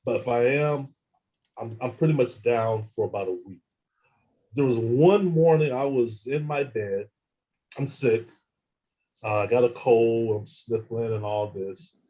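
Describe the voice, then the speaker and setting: A man telling his own experiences as an interview guest, halting and often repeating words as he restarts sentences. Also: mid-range voice, dark tone, lo-fi sound. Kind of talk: casual first-person account.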